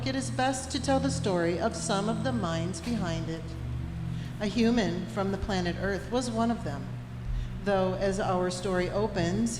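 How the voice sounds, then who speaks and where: A woman playing the unflappable narrator of a science fiction comedy radio play, with a measured, dry storybook delivery. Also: medium pitch, lightly acted. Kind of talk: scripted narration for a live staged radio play. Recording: echoey hall, music under the voice.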